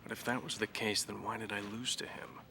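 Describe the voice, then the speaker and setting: A rather low, tense-voiced man, a proud air officer. His delivery is flat and measured, with cold disdain, in an interview-style war game monologue. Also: vocal fry, slight background noise. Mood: cold, bitter. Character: cold, proud defeated military pilot.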